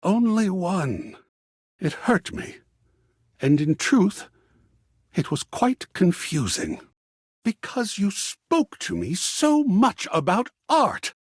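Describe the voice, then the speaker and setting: An effusive, melodramatic art-loving man for a video game. His voice sits a little high and turns husky, swooping extravagantly from note to note. The delivery is florid and theatrical, every phrase drawn out for effect. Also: huge pitch swings, slow pace, dry recording, studio quality, exaggerated voice. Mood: hurt, then tender. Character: effusive, lovestruck, melodramatic male art lover and gallery creator. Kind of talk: theatrical game character dialogue.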